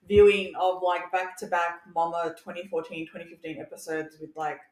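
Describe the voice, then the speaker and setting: A woman's voice: lowish voice, fairly even intonation, normal speed. A woman co-hosting a podcast, joking and giggling. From Western Sydney, Australia. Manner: giggly, joking, says shout out a lot. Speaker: podcast co-host. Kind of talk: casual podcast interview. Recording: roomy echo, faint noise.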